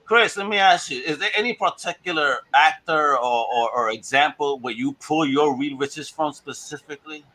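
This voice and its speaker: Bright-voiced podcast host, chatty man. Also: on the high side, normal speed, few pauses, poor audio. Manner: chatty, laughs often, enthusiastic. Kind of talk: casual podcast chat.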